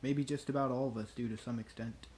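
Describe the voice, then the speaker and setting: Man reading reflectively over clear background noise. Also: medium pitch, steady tone, fast talker, a little mellow. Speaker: reader at an online worship service. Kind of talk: reading a reflective text aloud. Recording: clearly noisy ambient background hiss.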